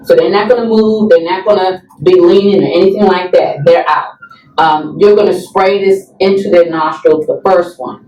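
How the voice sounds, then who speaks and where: A woman's voice: fairly low pitch, fairly even intonation, moderate pace, dark tone, dull audio. A woman giving a conversational, instructive explanation as a guest expert, often checking in with short tag questions. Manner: checks in often with right and okay. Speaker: guest expert in substance use and overdose prevention education. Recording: roomy echo, distant from the mic.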